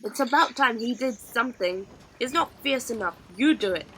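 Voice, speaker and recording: A woman's voice: fairly high pitch, expressive, moderate pace, a bit bright, slight background noise, voice pushed up, low-quality recording. Woman doing squabbling, reluctant pirate oarsmen. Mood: nervous bickering. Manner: bickering, urging.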